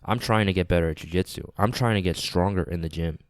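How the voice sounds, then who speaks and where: Deep-voiced man, nasal from a cold. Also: fast talker, no room sound, studio quality. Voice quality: slightly raspy, nasal. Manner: earnest, repeats phrases for emphasis. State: congested from a lingering cold. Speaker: podcast host. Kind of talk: casual podcast monologue. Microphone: close podcast microphone.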